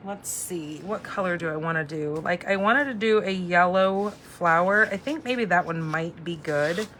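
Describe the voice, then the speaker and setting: Woman, 40s, craft livestream host: slightly low, bright voice, chatty think-aloud delivery, noticeable background noise. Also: moderate pace. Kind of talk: casual live craft demo chat.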